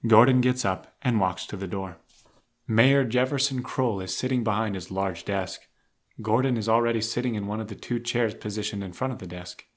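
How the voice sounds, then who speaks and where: Casting: male narrator for scripted drama, fairly low voice, reading scene descriptions. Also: normal speed.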